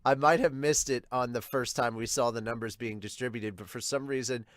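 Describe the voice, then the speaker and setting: A man's voice: medium pitch, steady tone, a little fast, fairly bright tone, dry recording, clean studio sound. A man hosting a podcast, reading comments aloud and chatting casually in a somewhat hesitant way. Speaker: podcast host reading and discussing viewer comments.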